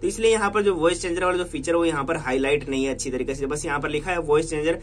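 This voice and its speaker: A man's voice: a little high, rapid-fire, soft background music. A man with an Indian accent narrating a casual hands-on tech tutorial, explaining repetitively and mixing in English words. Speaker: tech vlogger demonstrating a phone feature.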